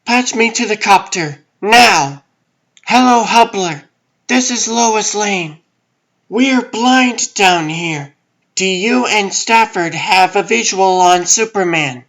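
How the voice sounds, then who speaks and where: A man voicing a brisk, commanding woman news producer in a comic dub. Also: high voice, fairly bright tone, exaggerated voice. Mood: commanding, urgent.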